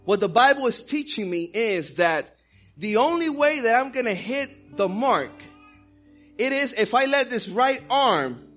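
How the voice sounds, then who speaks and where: A man's voice: extremely high pitch, sing-song, a little slow, a bit bright, soft background music. A man from the Dominican Republic preaching a sermon with fervor, weaving in personal stories. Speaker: pastor.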